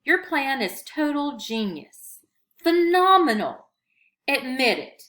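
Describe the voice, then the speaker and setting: A woman voicing an upbeat, excitable sixth-grade boy, a supportive storybook sidekick who speaks with emphasis. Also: high-pitched, takes it slowly, voice pushed up, exaggerated voice. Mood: enthusiastic.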